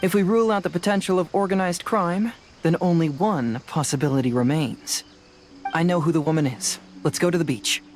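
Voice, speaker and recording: A man's voice: fairly high pitch, a little hiss or hum, lightly acted. Man as a composed, analytical young detective. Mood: thoughtful, decisive. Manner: measured, analytical, articulate. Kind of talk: video game voice acting.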